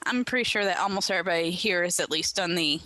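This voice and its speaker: A woman's voice: fairly low pitch, quick pace, sharp tone, no room sound, low-quality recording. A woman chatting casually on a panel and explaining things conversationally. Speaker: podcast panelist.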